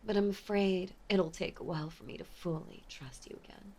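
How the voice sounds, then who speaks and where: A woman playing an obsessive, possessive mafia heiress ex-lover in an intimate audio drama, speaking with menacing sweetness and often slipping toward a breathy whisper. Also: mid-range voice, steady tone, normal speed, dark tone, close to an everyday voice. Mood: falsely tender. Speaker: voice actor. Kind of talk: intimate dramatic roleplay monologue.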